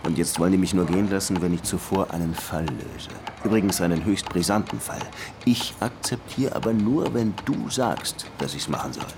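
Deep-voiced, weary police detective. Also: male voice, moderate pace, hardly any pauses, noisy background. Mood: insistent. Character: weary disillusioned police lieutenant in his thirties, would-be writer. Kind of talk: radio crime drama, first-person narration and dialogue. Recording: music behind the voice.

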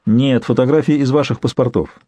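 A man reading the part of a courteous, quietly persuasive young fixer in an audiobook novel. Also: mid-range voice, fairly even intonation, a little fast, no echo, lightly acted. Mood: businesslike. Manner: polite, matter-of-fact.

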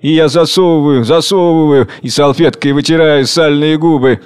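A man voicing a furious, raving tyrant king of hunger, declaiming and commanding loudly. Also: fairly high pitch, normal speed, natural voice. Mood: scornful.

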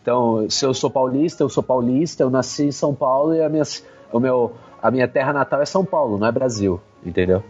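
A man's voice: mid-range voice, quick pace, few pauses, darkish tone, breathy edge, faint music behind. A Brazilian man co-hosting a podcast, casually explaining history. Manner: explanatory, informative. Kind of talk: casual conversational chat on history. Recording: slightly dull lo-fi sound.

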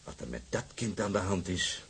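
An actor playing a proud, blunt old sea captain in a radio drama, gruff and insistent. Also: male voice, sits low, sing-song, normal speed, slight background noise, full character voice. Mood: proud, brooding. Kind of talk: Dutch radio drama acting.

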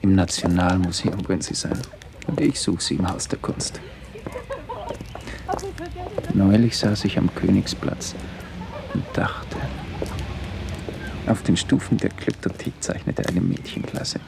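Brooding writer, radio play monologue, fry in the voice, halting and wry, noisy ambient recording. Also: male voice, low voice, steady tone. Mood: wry, musing. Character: brooding wandering writer, a man, wry and melancholy. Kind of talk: first-person literary radio play monologue.

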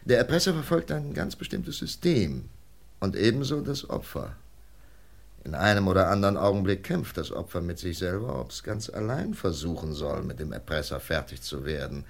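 An actor playing a methodical, persuasive FBI investigator in a German radio crime drama, measured, lecturing and emphatic. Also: male voice, deep voice, animated, creaky, heavily acted.

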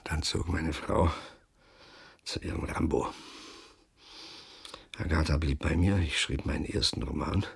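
Middle-aged novelist narrator, radio play, deep low register, very raspy, wry, self-mocking, confiding, halting delivery. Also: male voice, fairly even intonation, full character voice. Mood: dry, rueful. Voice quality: husky, gravelly. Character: middle-aged former lawyer turned novelist, wry, self-deprecating, world-weary. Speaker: narrator and protagonist. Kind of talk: German radio drama monologue.

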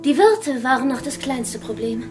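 An actress playing a blunt, sardonic survivor of a catastrophe, talking frankly in a horror radio play. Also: female voice, high voice, expressive, normal speed, over music. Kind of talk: radio drama acting.

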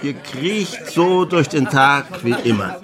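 A man's voice: mid-range voice, lively intonation, slow pace, crowd noise. A man in a German radio play playing a boisterous, domineering, mocking pastor who drinks in defiance. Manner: loud, commanding, mocking.

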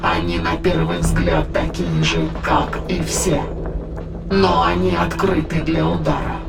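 A performer in an audio play voicing a sinister ancient demonic spirit that speaks in solemn, declamatory, archaic phrases with a gravelly, creaky voice. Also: mid-range voice, animated, measured pace. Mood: solemn, menacing. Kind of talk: dramatized audio play, formal archaic monologue. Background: dramatic background music.

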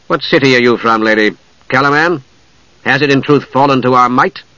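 A man's voice: mid-range voice, a little fast, pushed and bright, no room sound, natural voice, low-quality recording. A man voicing a cold, haughty, impatient knight in a fantasy audiobook, with a curt, formal, disdainful manner. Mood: haughty interest.

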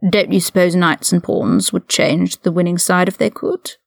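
A woman voicing an older aristocratic male wizard prisoner, haughty and mocking, with measured, condescending, formal speech in an audiobook-style story reading. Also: on the low side, steady tone, creaky, dry recording, studio quality. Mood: intense, persuasive.

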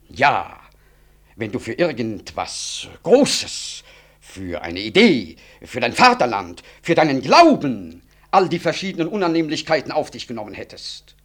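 Pompous minister with sweeping intonation. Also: male voice, on the high side. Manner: pompous, formal, rhetorical. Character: self-important male government minister, smooth, self-justifying old friend. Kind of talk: 1960s German radio drama acting.

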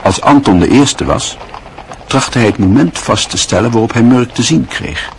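A man narrating a radio play in a measured, expressive storytelling manner. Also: mid-range voice, creaky, a little hiss or hum, rough recording.

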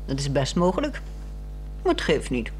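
Dry, teasing housewife in radio acting. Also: female voice, low voice, big pitch swings, normal speed, darkish tone, slight background noise. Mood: dry, probing. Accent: Netherlands Dutch. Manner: dry, probing, pointed. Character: bored, frank housewife, teasing and probing. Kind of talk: 1960s radio drama acting.

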